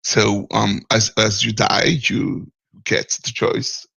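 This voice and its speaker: Guy calling in, raspy fry in his voice, lots of ums, stops to find the right english word. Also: fairly low pitch, little pitch movement, slow, bright, forceful tone, dry recording. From Martinique. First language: French. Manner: hesitant, searching for words, chuckles often. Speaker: caller. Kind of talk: call-in discussion.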